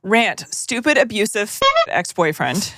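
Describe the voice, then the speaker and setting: A woman's voice: mid-range voice, fairly bright tone, no room sound, studio quality. Profane young woman podcast co-host. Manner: profane, joking asides while reading. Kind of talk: casual chat, reading listener letters aloud.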